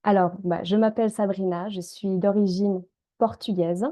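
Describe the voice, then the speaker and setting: A woman, an energy healer and coach leading a calm group healing session, speaking gently with frequent hesitations. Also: medium pitch, normal speed. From Switzerland. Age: 30s. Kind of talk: live group healing session and guided prayer.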